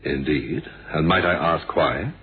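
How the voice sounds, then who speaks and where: A man's voice: rumbling low, measured pace, husky, narrow, tinny audio, exaggerated voice. A man acting a shrewd, courteous but suspicious police inspector in a radio drama, drily wry and formal. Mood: stern suspicion. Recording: thin band-limited old radio, noisy.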